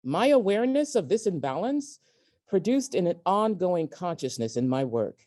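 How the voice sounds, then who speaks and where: A woman reading memoir excerpts aloud in a measured, emphatic way, with deliberate pauses. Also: lowish voice, big pitch swings, mellow. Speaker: guest reader at a virtual event. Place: indoors at a community center, on an online event.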